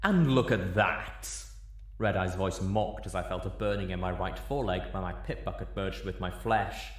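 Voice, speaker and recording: A man's voice: sits low, steady tone, normal speed, reverberant. A man reading a dramatic story as its narrator. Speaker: narrator, audiobook reader.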